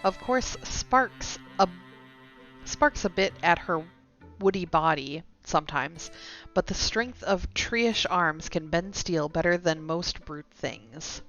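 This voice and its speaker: Lady game streamer, lowish voice, kinda muffled audio, reads stuff out n trips on words, chats along. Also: animated, slow, a bit bright. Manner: stumbles over read text, chatty asides. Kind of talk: live game stream, reading story text aloud and chatting. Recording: dull lo-fi sound with music behind. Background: game music.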